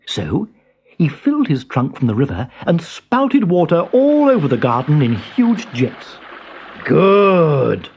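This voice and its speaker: A storyteller giving an expressive narration of a children's storybook. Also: mid-range voice, unhurried, slightly rough.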